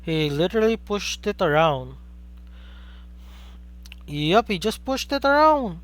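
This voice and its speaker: A young man from the Philippines with a Filipino accent, giving live play-by-play commentary on a card game stream, excitedly asking rhetorical questions and chatting with viewers. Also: sits high, slow pace.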